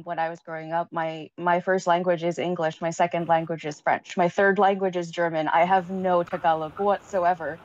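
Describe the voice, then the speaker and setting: A woman's voice: on the low side, darkish tone. A woman from Canada speaking on a casual panel discussion, hesitant, with many ums and restarts. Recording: dull lo-fi sound.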